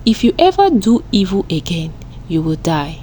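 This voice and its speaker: A woman voicing a kindly, solemn old village healer in a storybook tale, speaking deliberately. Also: on the low side, big pitch swings, mellow, a little hiss or hum. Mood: stern warning.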